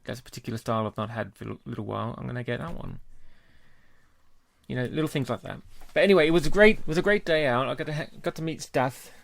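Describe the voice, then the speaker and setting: Gravelly-voiced man chatting on a podcast. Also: medium pitch, fast talker, no room sound. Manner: chatty, relaxed, laughs easily. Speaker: podcast co-host. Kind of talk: casual chat.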